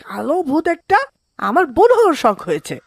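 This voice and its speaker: A woman's voice: sits high, big pitch swings, fairly quick, vocal fry, no room sound, exaggerated voice. A woman voicing a scornful young schoolboy, a rude older brother, in a children's cartoon. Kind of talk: children's moral cartoon voice acting.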